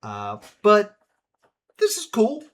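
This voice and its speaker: Animated male streamer thinking aloud. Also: high voice, slow. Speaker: streamer, host. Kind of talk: casual spoken commentary.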